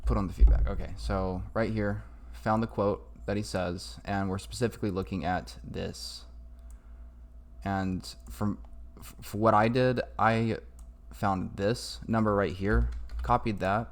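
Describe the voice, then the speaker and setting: A man with a low voice walks through a casual computer tutorial. He takes his time, speaking slowly and informally as he improvises each step. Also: steady tone. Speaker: tutorial maker. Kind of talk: casual screen-recorded tutorial.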